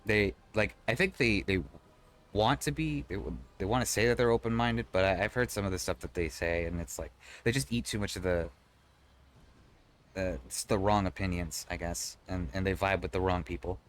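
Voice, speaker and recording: Male streamer, low voice, relaxed rambling chat with viewers. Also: normal speed. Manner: conversational and rambling, frequent restarts, swears casually. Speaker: live streamer. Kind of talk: casual live-stream chat, reading and answering chat.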